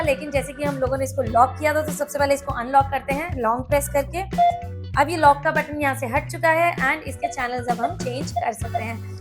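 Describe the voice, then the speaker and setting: A woman with an Indian accent hosting a casual product review, explaining things step by step and speaking directly to viewers. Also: high voice.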